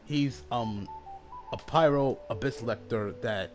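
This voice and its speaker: A man streaming a video game and casually explaining the story, with halting speech and many fillers. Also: medium pitch. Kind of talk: casual gameplay commentary. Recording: stream with loud game music behind.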